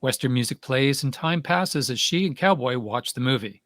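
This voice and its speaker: Male narrator for stage directions, medium pitch, close dry sound, scripted delivery. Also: animated, normal speed, fairly bright tone, dry recording.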